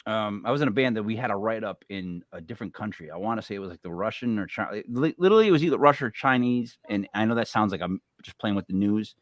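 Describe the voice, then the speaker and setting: A man's voice: lowish voice, fast talker, few pauses, no room sound. A man hosting a podcast, chatting casually and telling rambling stories with frequent laughs. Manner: laughing, rambling, animated storyteller. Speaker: podcast host. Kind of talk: casual chat.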